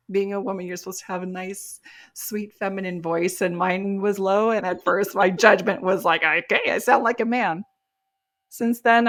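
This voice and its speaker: An older woman, a life coach, telling a personal story on a podcast in an earnest, reflective way. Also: lowish voice. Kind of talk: podcast interview.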